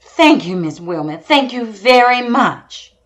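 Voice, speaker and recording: A woman's voice: a little high, lively intonation, slow. A woman playing an earnest female radio news reporter in a 1930s-style broadcast drama, describing a scene with dramatic deliberation. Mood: politely impatient. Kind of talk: 1930s radio newscast acting.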